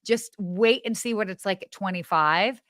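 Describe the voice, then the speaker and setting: A woman's voice: medium pitch, moderate pace, a bit bright, no echo, clean studio sound. A woman co-hosting a live travel show in casual chat. She is bubbly, laughs often, and trails off and restarts her sentences. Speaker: podcast co-host.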